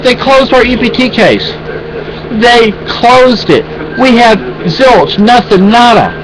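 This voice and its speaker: A man's voice: sits high, rough recording. A man venting in a rambling, exasperated way in a casual home vlog, with many restarts. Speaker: vlogger.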